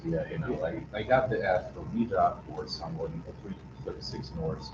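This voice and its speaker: A man from Ukraine with a Ukrainian accent, chatting casually and halting often with "you know". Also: low voice. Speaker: newcomer at a meetup. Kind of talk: casual group chat. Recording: roomy, distant, muffled, with background noise.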